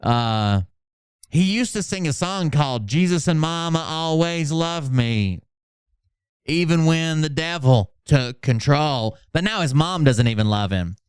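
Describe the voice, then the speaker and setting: A man's voice: fairly high pitch, expressive, a little slow, clear, resonant tone, dry recording, clean studio sound. A man hosting a casual livestream commentary, talking in a sarcastic and profane way. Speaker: channel host doing mocking dramatic readings.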